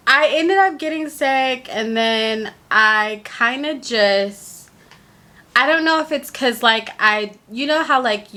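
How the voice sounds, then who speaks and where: A young woman chats straight to her camera on a stand in an easygoing heart-to-heart. Her tone is bright and forward, and she takes her time, with plenty of filler words along the way. A leftover cold leaves her sounding a touch congested. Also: on the high side. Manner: chatty, candid, many fillers like "like". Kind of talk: casual heart-to-heart vlog.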